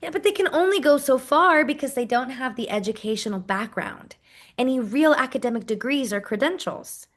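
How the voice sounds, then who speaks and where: A friendly, dedicated program associate played by a woman with a somewhat high voice. She talks in a chatty, enthusiastic way, as if telling her own story in a dramatized interview. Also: animated, fairly quick. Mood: explanatory. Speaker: performer portraying a university program associate. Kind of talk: dramatized first-person interview narrative, conversational.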